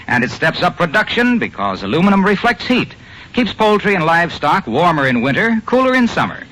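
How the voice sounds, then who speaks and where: A man's voice: on the high side, sharp tone. An American man announcing in a polished, salesmanlike 1950s radio advertising style. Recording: slightly noisy old radio recording.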